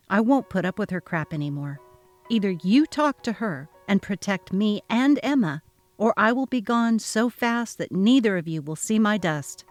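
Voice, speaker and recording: Brief: woman reads exasperated young mom, sharp and emphatic, dramatic text-story narration. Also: medium pitch, no echo. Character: exasperated young mother, fed up and defiant.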